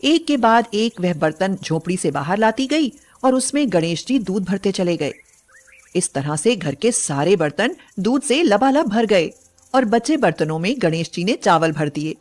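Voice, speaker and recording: A woman's voice: mid-range voice, animated, a little slow, darkish tone. A woman narrating a scripted bedtime story as a storyteller.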